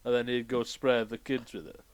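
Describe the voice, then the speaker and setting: A man playing a hapless railway assistant in an improvised comedy interview, deadpan and halting, repeating his words. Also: mid-range voice, smooth, no room sound, close to an everyday voice. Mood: deadpan, sheepish.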